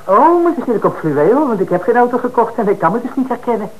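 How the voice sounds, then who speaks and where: An actor playing a defensive male suspect under questioning in an old radio play, talking quickly and protesting. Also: extremely high pitch, sing-song, phone-quality sound, exaggerated voice. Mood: cocky. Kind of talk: old Dutch radio drama acting.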